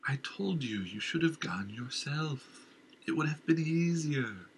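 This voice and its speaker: A man voicing a scornful, disembodied voice inside a king's head, drawing his words out slowly and needling the king. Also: fairly high pitch, animated, a little hiss or hum, pitched above the performer's own voice, poor audio. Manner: slow, drawn-out, needling delivery.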